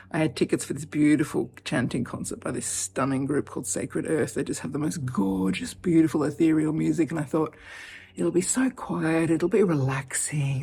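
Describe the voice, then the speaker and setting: A heavily pregnant woman in her fifties talking off the cuff in a personal vlog, chatty and animated, laughing often. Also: deep voice, gravelly, slight background noise. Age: 50s. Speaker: vlogger.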